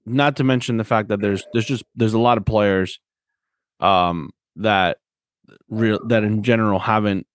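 A man with a fairly low voice chats casually about sport as a podcast host. The recording is dry and close, and he talks conversationally, often tagging his points with a check for agreement. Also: steady tone, a little slow, no echo. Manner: conversational, often seeks agreement with tag questions. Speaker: podcast host and soccer coach.

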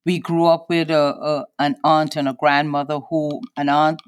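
A woman's voice: low-pitched, little pitch movement, no room sound. Reflective older woman from Guyana. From Guyana and Trinidad. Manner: hesitant, reflective, many pauses and fillers. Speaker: guest. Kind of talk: personal interview.

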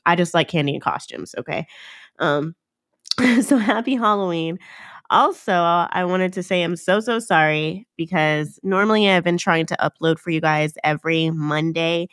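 A woman's voice: on the low side, normal speed, fairly bright tone, no room sound, studio quality. A woman hosting her own podcast, talking casually to listeners, sounding nasal from a cold and often correcting herself. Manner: chatty and upbeat, fillers and self-corrections. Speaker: podcast host. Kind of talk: casual solo podcast talk.

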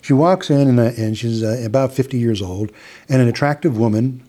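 Mellow-voiced Midwestern man telling a story. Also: medium pitch, brisk. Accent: Upper Midwest American. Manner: chatty, with frequent restarts and quoted dialogue. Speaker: hypnotist guest. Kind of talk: casual storytelling in an interview.

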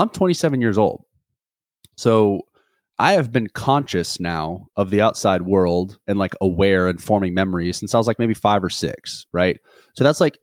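A man's voice: fairly low pitch, no echo, clean studio sound. A man in his twenties talking through a reflective solo podcast, earnest and rambling, with many restarts and fillers. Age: 20s. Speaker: podcast host.

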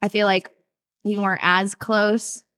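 A woman's voice: medium pitch, little pitch movement, unhurried, fairly bright tone, smooth, dry recording, studio quality. A young woman from the San Diego area, a podcast guest chatting with a friend, saying "like" a lot and laughing often. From San Diego area, USA. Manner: chatty, says "like" a lot, giggly. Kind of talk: casual chat with a friend.

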